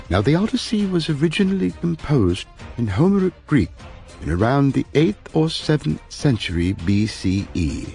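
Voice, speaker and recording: Lively male audiobook narrator over music. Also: medium pitch. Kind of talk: scripted narration of a literary introduction.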